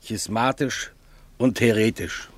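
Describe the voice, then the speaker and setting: An actor playing a cynical, worldly cleric in a radio drama, with a sardonic way of talking. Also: male voice, on the low side, takes it slowly, no room sound. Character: cynical, worldly male cleric, slyly amused. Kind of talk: classic German radio drama dialogue.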